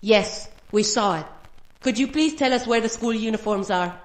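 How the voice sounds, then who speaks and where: A woman playing a gentle, reassuring, protective young mother in an audio horror drama. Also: on the high side, moderate pace, dry recording, close to an everyday voice. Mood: tense, protective. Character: tender, protective young mother, anxious but composed.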